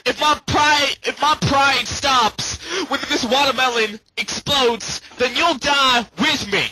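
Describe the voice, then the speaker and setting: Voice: sits high, slow pace, bright, forceful tone, exaggerated voice. An amateur fandubber voicing a brash, swaggering male street-gang rebel leader in slangy, profane, bombastic style on a home voice chat. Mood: daring. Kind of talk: amateur comedic fandub, improvised over a Skype call. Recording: clicky, noisy home voice-chat recording.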